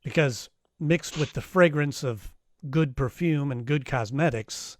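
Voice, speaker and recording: A man's voice: on the high side, unhurried, close to an everyday voice. A man playing an unflappable, wisecracking private detective who also narrates in a first-person noir radio style. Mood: wry narration. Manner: dry, wisecracking.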